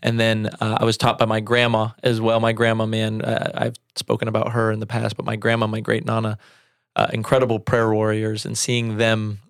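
Man in his thirties, church show co-host, casual storyteller, medium pitch, dry close sound. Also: monotone, brisk, clear, resonant tone, no room sound.

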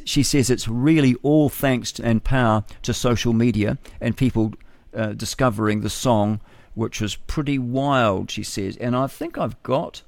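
A man's voice: medium pitch, vocal fry, darkish tone, no echo. A man hosting morning radio, chatting his way through the news with offhand asides and fillers. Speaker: radio host. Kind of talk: casual news commentary.

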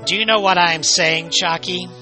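Man as a stern mother, sharp bright voice. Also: sits high, steady tone, normal speed, faint music behind, pitched above the performer's own voice. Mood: stern, accusing. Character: stern, scolding mother of a young girl. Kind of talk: horror storytelling character voice.

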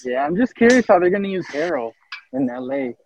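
A man co-hosting a casual sports podcast, talking in an animated way with stammered restarts. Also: fairly high pitch, fairly quick, slight background noise. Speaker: podcast co-host.

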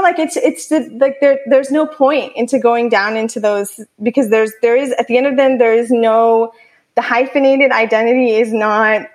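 A young woman speaks in a slightly high voice, thinking aloud in a halting way. She circles back through fillers and restarts as she talks casually. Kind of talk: casual discussion.

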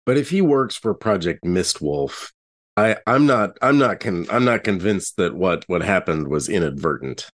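A man playing an earnest, skeptical investigator in a tabletop game, speaking in a hesitant, halting way. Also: deep voice, expressive. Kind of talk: improvised in-character tabletop dialogue.

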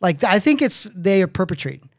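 Animated, foul-mouthed podcaster man in his fifties. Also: high voice, fast talker. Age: 50s. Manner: profane, rambling, opinionated. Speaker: podcast co-host. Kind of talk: casual comedic chat.

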